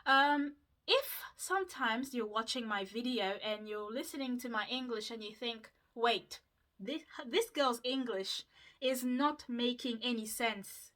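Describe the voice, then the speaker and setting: A woman's voice: a little high, measured pace, a bit bright. A woman, chatting casually to her viewers while doing her skincare, with lots of fillers and asides. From Mauritius. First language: Mauritian Creole. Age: 20s. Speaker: vlogger.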